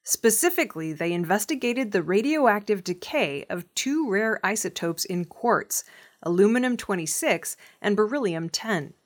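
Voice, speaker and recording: A woman's voice: mid-range voice, expressive, moderate pace. A woman reading scripted news narration as the presenter of an audio news programme. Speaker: news reader.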